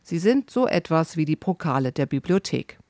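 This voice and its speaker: A man reading scripted documentary narration for a travel report, measured and descriptive. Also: a little high, sing-song, darkish tone, dry recording.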